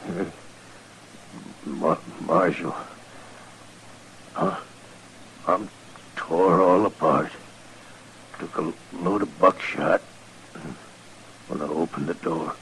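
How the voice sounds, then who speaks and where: An actor playing a mortally wounded frontier farmer in a radio western, weak, afraid and gasping in broken phrases. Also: male voice, low voice, extremely slow, pauses often, fairly bright tone, full character voice. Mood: pained, failing. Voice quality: gravelly, broken. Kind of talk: old-time radio western acting. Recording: noisy old radio recording.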